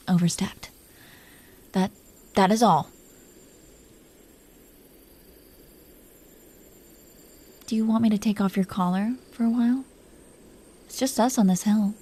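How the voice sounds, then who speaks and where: A woman performs a proud dragon queen who is possessive and secretly tender with her lover. Her voice is dark, soft-edged and husky. She teases in a breathy, haughty, lingering way, made for close, intimate roleplay audio. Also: medium pitch, natural voice. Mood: haughty, softening. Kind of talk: intimate roleplay audio drama, often whispered.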